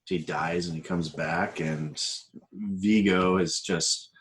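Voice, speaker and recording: A man in casual podcast conversation as a panelist, speaking hesitantly and thinking out loud as he goes. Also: sits low, fairly even intonation, slow.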